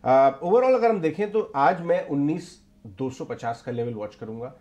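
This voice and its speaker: A man's voice: a little high, animated, a little slow, a little mellow, highs cut off. A middle-aged man anchoring live market commentary from a TV studio on a lapel mic, explaining and repeating key points for emphasis. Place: TV news studio.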